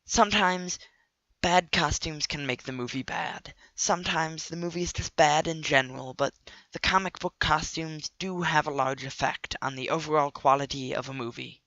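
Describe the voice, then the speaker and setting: A man with a cold and a nasal voice, hosting a scripted comic commentary video with deadpan, sarcastic asides. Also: on the high side, normal speed, no room sound, dull audio. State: sick with a cold. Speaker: video host.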